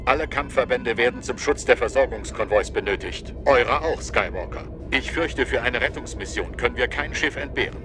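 Stern, measured council master acted by a man. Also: mid-range voice, over music, lightly acted.

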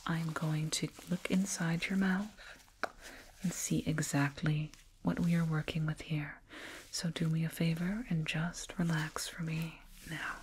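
A woman whispering slowly and soothingly in an ASMR roleplay as a condescending alien dentist, close to a binaural microphone. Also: low-pitched, a little mellow. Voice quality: breathy whisper. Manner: slow, soothing, patronizing, deliberate.

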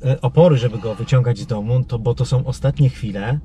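A man's voice: medium pitch, brisk. A middle-aged man hosting a casual interview and putting questions in a conversational way. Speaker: interview host. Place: inside a car, speaking while driving. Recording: loud car and street noise. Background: car and urban traffic ambience.

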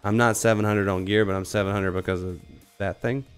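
A man's voice: fairly low pitch, fairly even intonation, a little fast, soft background music. A man streaming a shooter game with casual, joking commentary to viewers, his voice worn out from a cold. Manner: joking, talks to viewers while playing. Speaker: gaming streamer. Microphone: headset or desk mic, no camera.

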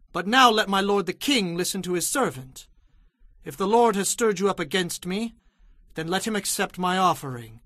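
A bold young warrior speaks in a high, earnest voice, declaiming with devout loyalty. It is a dramatized Bible production, recorded close and dry. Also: male voice, fairly bright tone, no echo. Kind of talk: dramatized audio Bible acting.

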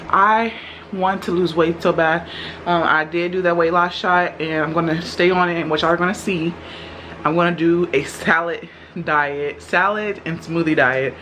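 A woman's voice: fairly low pitch, moderate pace, fairly bright tone, a little hiss or hum. A young woman with a Texan accent, chatting casually to her viewers while filming herself in her home kitchen. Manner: chatty, direct, addresses viewers as y'all. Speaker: vlogger. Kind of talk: casual vlog chat. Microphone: handheld camera at arm's length.

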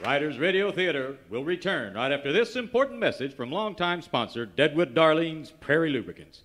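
High, showy radio announcer. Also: male voice, very animated, moderate pace, fairly bright tone. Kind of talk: old-time radio announcing parody. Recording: noisy live stage with crowd.

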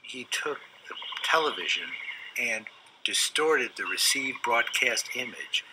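A man's voice: mid-range voice, animated, background noise. Older male art expert explaining. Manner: measured, explanatory, gestures while speaking. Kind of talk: documentary interview. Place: cluttered indoor studio. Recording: loud music under the interview.

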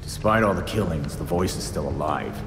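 Low-voiced sardonic antihero guy, a man acting it, game score and reverb under him. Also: fairly quick, over music, natural voice. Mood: grim. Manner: dry, sarcastic, blunt. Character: gruff sardonic masked vigilante man.

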